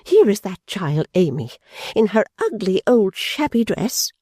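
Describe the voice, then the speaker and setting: A man's voice: high-pitched, sing-song, unhurried, darkish tone, breathy edge, no echo, studio quality, pitched above the performer's own voice, exaggerated voice. A man voicing a vain, indignant young woman who scolds and frets in a haughty way.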